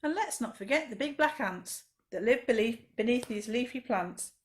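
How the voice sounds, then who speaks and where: A woman's voice: on the high side, expressive, normal speed, natural voice. A woman voicing a friendly little girl in a children's storybook, coaxing and encouraging. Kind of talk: children's storybook read-aloud.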